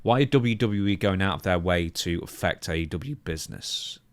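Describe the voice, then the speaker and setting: Guy hosting a podcast, deeper voice, no room echo, chatty interviewer. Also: animated, normal speed, clean studio sound. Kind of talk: conversational interview.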